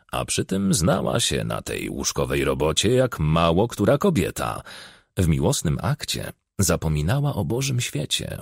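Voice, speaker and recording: A man's voice: low voice, gravelly, breathy edge, dry recording, clean studio sound. A man reading a novel aloud as an audiobook narrator, telling the story expressively.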